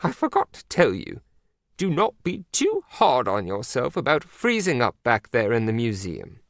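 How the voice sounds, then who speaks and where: A storybook reader voicing a haughty, dramatic older queen who speaks in a grand, formal, drawn-out way. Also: mid-range voice, huge pitch swings, fairly bright tone, no echo. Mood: reassuring. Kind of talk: audiobook character voice.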